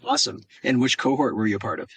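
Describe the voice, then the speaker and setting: A man's voice: medium pitch, big pitch swings, fast, husky, no room sound. A young man who founded the program hosts the interview in a friendly, casual way, saying "awesome" a lot and often restarting words.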